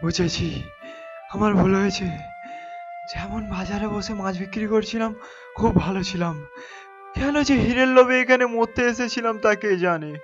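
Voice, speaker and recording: A man's voice: high-pitched, moderate pace, muffled recording, over music, lightly acted. A man voicing a gullible, greedy fishmonger in a cartoon story, exclamatory and pleading. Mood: remorseful. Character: gullible, greedy male fishmonger, frightened then remorseful. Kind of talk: Bengali cartoon story dubbing.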